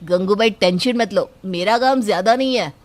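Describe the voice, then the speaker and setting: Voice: mid-range voice, moderate pace, lightly acted. Acted young male college student, easygoing, casual, instructing, story character.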